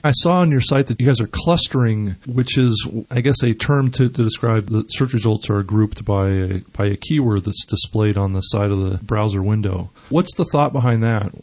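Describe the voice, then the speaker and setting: A man's voice: on the low side, normal speed, hardly any pauses, darkish tone. A male radio talk show host interviewing a guest, asking long, rambling questions.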